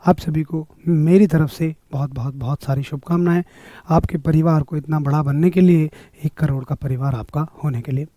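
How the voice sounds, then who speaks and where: Hoarse man teaching live. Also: on the high side, fairly even intonation, moderate pace, warm tone, no room sound. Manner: greets viewers by name, upbeat coaching; frequently clears throat. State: hoarse, sore throat. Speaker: online exam-prep teacher. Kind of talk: live-streamed physics class.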